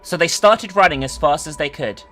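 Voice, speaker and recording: A narrator giving a dramatic reading of a horror story, expressive, with sweary, incredulous asides. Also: mid-range voice, moderate pace, soft background music. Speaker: narrator and commentator.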